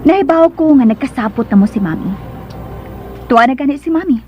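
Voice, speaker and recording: A woman playing a cold, scheming lady of the house in a radio drama, with a cutting, deliberate delivery on a dull recording. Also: high voice, animated, a little mellow, soft background music. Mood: cold, confronting.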